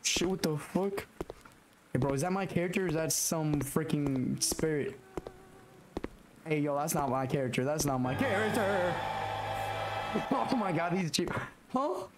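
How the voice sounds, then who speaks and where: Raspy-voiced young man gaming. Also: fairly high pitch, measured pace, pauses often, loud music behind the voice. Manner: jumpy, swearing, talks to himself while playing. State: hoarse from illness. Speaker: gaming YouTuber. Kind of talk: horror game let's play commentary. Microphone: headset.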